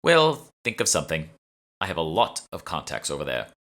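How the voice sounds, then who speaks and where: A man's voice: a bass voice, wildly swooping pitch, a little fast, fairly bright tone, heavily acted. A man voicing an imperious, condescending young woman who plays a breezy heiress in an audiobook. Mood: brisk, dismissive. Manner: breezy, demanding, haughty. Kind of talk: audiobook character dialogue.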